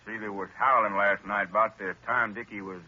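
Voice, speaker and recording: A man's voice: lowish voice, fairly even intonation, brisk, slightly rough, lo-fi sound. A man playing a folksy, plain-spoken rural sheriff on an old radio broadcast.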